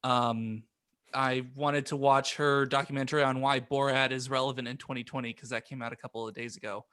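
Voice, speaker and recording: A man's voice: mid-range voice, steady tone, a little fast, a bit bright, clean tone, no room sound, studio quality. A man co-hosting a podcast in casual chat, hesitating and repeating his words as he goes. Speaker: podcast co-host.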